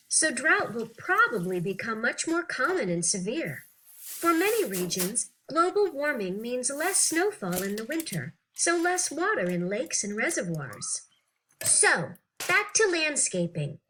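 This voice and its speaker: A woman reads aloud to young learners with an animated, teacherly delivery. Her voice is fairly high and bright, with lively swings in pitch and clearly shaped phrases. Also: unhurried, slight background noise, poor audio. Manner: animated, clearly phrased, acting out questions and answers. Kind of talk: expressive read-aloud of an interview text for children.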